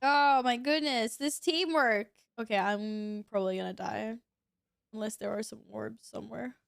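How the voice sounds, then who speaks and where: A woman's voice: on the high side, normal speed, gravelly, clean tone, no echo, clean studio sound. Chatty sniffly woman gaming streamer. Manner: chatty, reacts to gameplay, reads chat aloud. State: sniffly, sneezing. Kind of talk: casual gaming stream chat.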